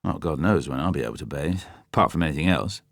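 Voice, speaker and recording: A man playing a hardened male spy, speaking low, clipped and subdued. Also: lively intonation, quick pace, vocal fry, no echo, studio quality, full character voice. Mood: curt.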